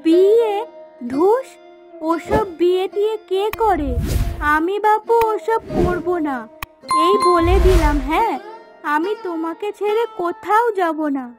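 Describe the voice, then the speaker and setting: A woman's voice: very high-pitched, takes it slowly, over music. A woman voicing a young, affectionate and outspoken village daughter in a cartoon moral story, coaxing and pert. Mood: pert, insistent.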